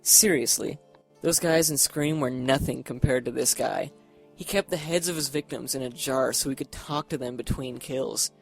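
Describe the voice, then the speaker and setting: A young boyfriend in a horror radio play. He talks in a medium-pitched, casual and eager voice, like someone playfully trying to spook his date, with music behind him. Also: normal speed, creaky. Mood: teasing, lurid. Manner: eager, teasing storyteller. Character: teasing young boyfriend telling a lurid scary tale. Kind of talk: casual dialogue in audio horror drama.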